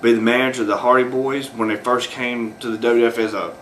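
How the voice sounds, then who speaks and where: Man, bright voice, rambling solo commentary, faint background hiss. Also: medium pitch, flat delivery, fast talker, rough recording. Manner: rambling, many fillers and restarts. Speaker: video host.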